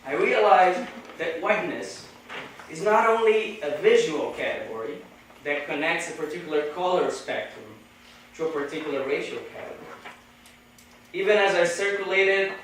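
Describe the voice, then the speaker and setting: A man speaking English with a Brazilian Portuguese accent gives a deliberate, carefully paced academic lecture at a podium. His voice sits a little high for a man. The sound is very echoey and comes from a distance, with faint noise in the hall. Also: a bit bright. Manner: measured, deliberate delivery with pauses. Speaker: conference speaker. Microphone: podium microphone.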